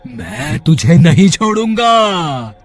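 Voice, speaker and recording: A man's voice: sits high, very animated, takes it slowly, faint music behind, exaggerated voice. A man voicing a hungry, menacing severed-head ghost in a horror cartoon, threatening and commanding. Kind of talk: Hindi horror cartoon character voice.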